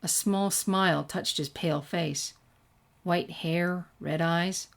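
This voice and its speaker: A woman with a slightly low voice hosts a fan fiction reading channel. She speaks as a storyteller and amateur host, recording in an ordinary room at home. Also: steady tone, slow, vocal fry. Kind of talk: scripted story narration and casual sign-off. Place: room at home without soundproofing.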